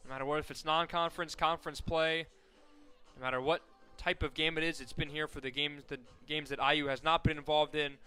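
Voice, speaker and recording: Young guy doing radio softball play-by-play, bright smooth voice, pitched a bit high, fixes his own slips, faint music under it. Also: level tone, fairly quick. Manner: rises sharply and loud on big plays, corrects himself often. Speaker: play-by-play announcer.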